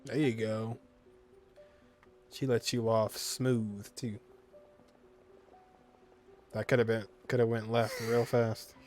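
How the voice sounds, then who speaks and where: A man's voice: fairly low pitch, little pitch movement, slow pace, pauses often, a little mellow. A man giving casual, colloquial let's-play commentary as a gaming streamer, reacting half to himself in a laid-back way. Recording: game music faintly behind commentary.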